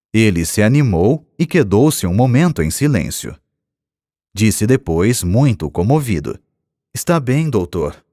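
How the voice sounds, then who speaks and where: A man with a low voice and a Brazilian accent reads scripted audiobook narration. His intonation moves in a lively way, and the delivery stays measured and expressive. The sound is close and dry. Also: no room sound.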